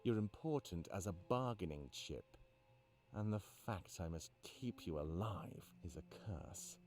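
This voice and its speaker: A man voicing a sinister, ruthless anthropomorphic interrogator who makes deliberate, taunting threats in a visual novel. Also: sits low, animated, a little mellow, soft background music, voice pushed down. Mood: menacing.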